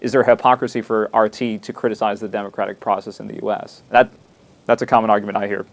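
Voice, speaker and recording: A man hosting an interview, asking rambling, halting questions with frequent restarts. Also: fairly low pitch, flat delivery, gravelly. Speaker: interviewer, host.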